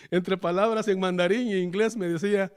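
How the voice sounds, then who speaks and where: A man's voice: high voice, fairly even intonation, moderate pace, clean tone. A man from El Salvador with a Salvadoran Spanish accent, preaching a chatty sermon in a church and often addressing the listeners as brothers. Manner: chatty, keeps calling the listeners "hermano". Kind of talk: conversational sermon.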